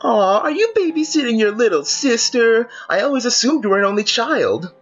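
A woman's voice: a little high, sing-song, moderate pace, husky, highs cut off, soft background music. A woman voicing a smug, condescending young costumed convention-goer in a cartoon dub, gushing with fake sweetness. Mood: mocking. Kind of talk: animated web comic dub acting.

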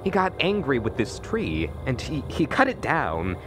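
Man, nervous stammering vlogger, voice cracks, very swoopy pitch, slow delivery, outdoor night recording. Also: wildly swooping pitch, music in the background. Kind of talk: mock-scared comedic night vlog. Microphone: handheld camera.

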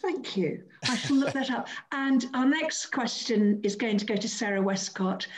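A woman's voice: a little high, normal speed, rough recording. A woman hosting a poetry event over a video call, chatting casually as she moderates questions. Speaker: host of an online poetry reading event. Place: indoors at home, on a group video call.

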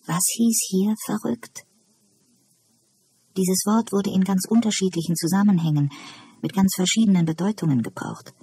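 Husky, mellow audiobook narrator. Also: medium pitch, moderate pace, no echo, rough recording. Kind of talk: scripted literary narration, reading a novel aloud.

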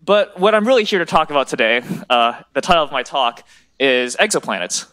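A young male graduate student speaks to the public in a large lecture hall. His voice sits a little high for a man, and his delivery is informal and enthusiastic, like an eager explainer. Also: lively intonation, brisk, pushed and bright. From China and the US. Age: 20s. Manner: enthusiastic, checks in with "right?".

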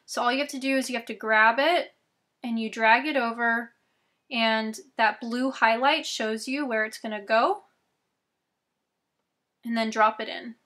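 A young woman with a bright, slightly high voice, talking slowly and carefully as she explains each step. She is losing her voice and adds chatty asides between instructions. Recorded on a camera mic in a small bedroom. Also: steady tone. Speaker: YouTube tutorial host. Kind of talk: screen-recorded software tutorial.

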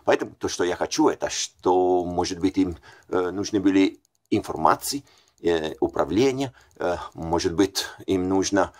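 Italian man, 40s, low voice, casual hesitant vlog to a desk camera in a small room. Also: moderate pace. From Italy. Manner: hesitant, many fillers and self-corrections. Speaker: language learner vlogger. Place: small bright room indoors.

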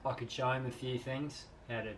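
A man's voice: mid-range voice, fairly even intonation, normal speed, a bit bright, rough recording. An Australian man in his thirties telling a casual anecdote in a boat cabin, acting out bits of dialogue. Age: 30s. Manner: quotes dialogue as he recounts. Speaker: sailing vlog host. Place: small boat cabin at a marina. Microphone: camera across the table. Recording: faint background noise.

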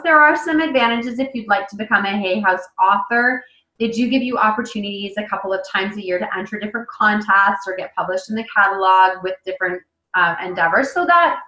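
A woman's voice: mid-range voice, pushed and bright. A woman in her thirties, an author giving a casual online lesson over a webcam, talking loosely with fillers and restarts. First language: English. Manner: conversational, many fillers and restarts. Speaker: author presenting a webinar. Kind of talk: casual instructional talk. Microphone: webcam on a video call. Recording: echoey room on a webcam mic.

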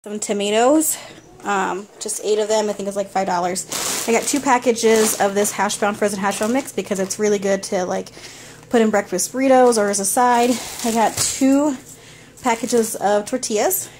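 A woman's voice: mid-range voice, fairly even intonation, moderate pace, a little mellow, a little hiss or hum. A young woman in her kitchen, chatting casually as she goes through a grocery haul item by item, recorded close on a handheld phone. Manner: chatty, listing items one after another. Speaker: vlogger. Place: home kitchen, indoors.

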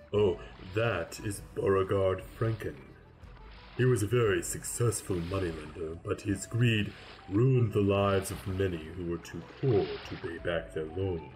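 A man's voice: sits low, a little mellow, poor audio. A man voicing a patient, fussy male grim reaper in a fan radio play, formal and explanatory.

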